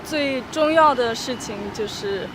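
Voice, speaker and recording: A woman's voice: high voice, unhurried. A young woman from Austria answering interview questions outdoors, hesitant and full of fillers, laughing easily. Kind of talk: casual street interview. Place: city street outdoors. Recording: loud street traffic noise. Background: traffic and passing vehicles.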